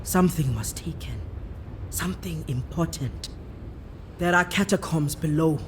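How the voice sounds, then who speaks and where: A woman playing a proud, devout island priestess in a fantasy game, speaking with solemn, measured authority. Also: deep voice, slight background noise. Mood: grave. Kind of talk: fantasy game character acting. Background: music.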